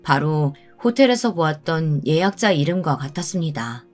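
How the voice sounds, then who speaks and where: Woman, lowish voice, scripted story narration, first person, faint music behind. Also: animated, normal speed, darkish tone.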